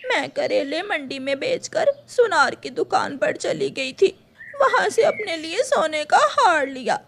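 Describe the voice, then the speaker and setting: Woman as a high, melodramatic old female crow. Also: very animated, unhurried, creaky, a bit bright, full character voice. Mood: tearful lament. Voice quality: tearful, high-pitched. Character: greedy, ageing female crow, whining and pleading.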